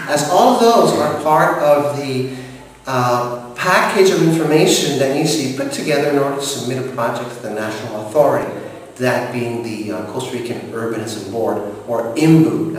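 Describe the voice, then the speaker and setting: A man's voice: medium pitch, slight background noise. Man from montreal, french native speaker, formal and hesitant, far from the mic, very echoey room with long reverb. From Montreal, Canada. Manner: formal, measured, frequent hesitations. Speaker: director of operations. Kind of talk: interview answers.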